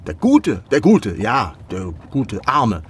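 An actor playing a dignified, wry elderly privy councillor in a radio play, speaking haltingly with ironic echoes of words. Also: male voice, on the low side, huge pitch swings, slight background noise, exaggerated voice. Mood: feigned grief. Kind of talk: German radio play acting.

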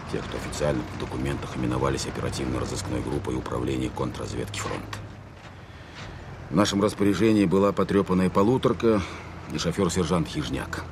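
An actor playing a stern, weary army captain in a war audio drama, speaking in a curt, authoritative, matter-of-fact way. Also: male voice, very deep voice, background noise. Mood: grave, recounting. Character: stern, weary male army captain leading a search team.

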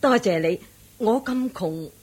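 A woman's voice: a little high, expressive, slow, a little mellow, breathy edge, heavily acted. An actress playing a poor, eagerly hospitable village woman, deferential and exclamatory, in Hong Kong Cantonese radio drama. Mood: humble, grateful.

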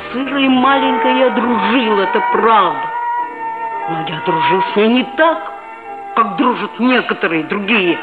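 A woman's voice: medium pitch, animated, unhurried, phone-quality sound, lightly acted. An actress playing an earnest, proud seventh-grade schoolboy who narrates his own story in a 1960s radio play. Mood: hurt, stubborn. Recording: thin band-limited old radio recording, music behind.

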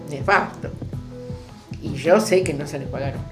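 A woman with an Argentine accent reflecting in an interview, halting, stressing key words. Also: sits low. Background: plucked guitar music.

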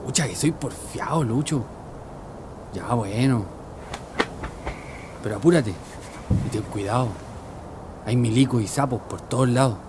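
A man playing an anxious brother in a radio drama, speaking hushed colloquial Chilean Spanish. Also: medium pitch, unhurried, long pauses, natural voice. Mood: worried. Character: anxious brother sent to fetch his sibling. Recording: clear background noise, street ambience.

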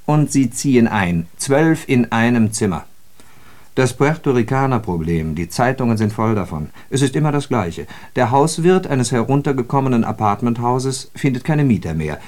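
An actor playing a sardonic, disillusioned socialist who observes city life in a radio drama, with ironic, declamatory and emphatic phrasing. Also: male voice, medium pitch, expressive, normal speed, a little mellow, close to an everyday voice. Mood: sardonic, observant. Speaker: radio play speaker. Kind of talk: literary German radio drama monologue.

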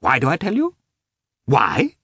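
A man reading an audiobook, voicing a cold, offended aristocratic husband in a squeaky, raised voice with coldly emphatic speech. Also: lively intonation, moderate pace, fairly bright tone, breathy edge, no echo, studio quality, exaggerated voice. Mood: angry. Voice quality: squeaky, high-strung. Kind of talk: audiobook character voice.

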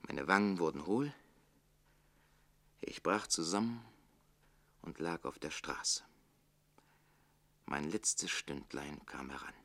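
An actor playing a jealous, quick-witted servant in a radio play, declaiming bitterly and rhetorically against the nobility. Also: male voice, very deep voice, expressive, heavily acted. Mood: wry, aggrieved. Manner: declamatory, rhetorical, swinging between hushed and outraged. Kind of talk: theatrical German radio play monologue.

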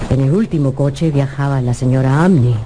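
A man narrating a classic story for a radio drama in an expressive storytelling manner. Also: medium pitch, moderate pace, a little hiss or hum.

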